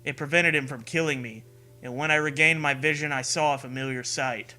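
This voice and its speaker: Man, very bright forceful voice, highish pitch, sarcastic dramatic reading, foul-mouthed riffing, music behind. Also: fairly even intonation, brisk. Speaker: co-host of a comedic read-along.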